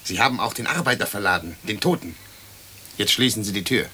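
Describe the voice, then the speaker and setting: An actor playing a prying, observant ghost in a German radio play. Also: male voice, on the low side, lively intonation, fairly quick, bright, forceful tone, a little hiss or hum. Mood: inquisitive.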